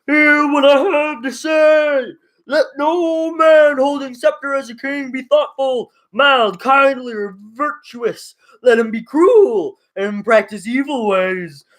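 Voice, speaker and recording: Old man orating, raised and forceful, voiced by a woman. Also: high voice, voice pushed up. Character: indignant old male advisor, orating.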